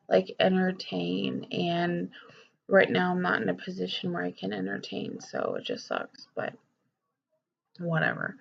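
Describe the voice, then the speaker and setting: A woman's voice: medium pitch, vocal fry, muffled recording. A young woman talking candidly in a personal vlog, rambling with lots of fillers and swearing, her voice nasal and stuffed up from a cold. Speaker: vlogger.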